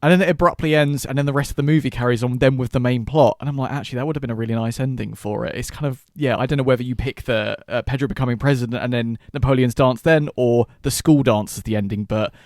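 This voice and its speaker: A man's voice: mid-range voice, fast talker, few pauses, a little mellow, no echo, studio quality. A man co-hosting a film podcast, chatting informally and with enthusiasm. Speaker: podcast co-host. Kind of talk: casual chat.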